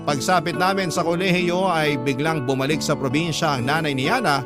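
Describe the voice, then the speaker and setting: A man's voice: fairly high pitch, fairly quick, natural voice. A man playing a young letter writer, narrating the story of his youth in a scripted drama style. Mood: reflective. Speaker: first-person narrator. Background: loud guitar music.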